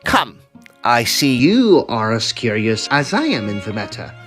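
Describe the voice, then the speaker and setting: A man's voice: mid-range voice, sing-song, unhurried, fairly bright tone, faint music behind. A man playing a decisive, courteous Edwardian occult detective in an audio drama, speaking formally. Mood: keen, courteous.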